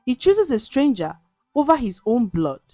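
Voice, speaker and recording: An arrogant rich man, acted by a woman makes scornful demands in a storybook tale. The pitch is raised and swings widely, with indignation in each phrase. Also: sing-song, normal speed, dark tone, dry recording, soft background music. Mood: scornful, indignant. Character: arrogant, indignant tall man, wealthy eldest son. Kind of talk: storybook narration dialogue.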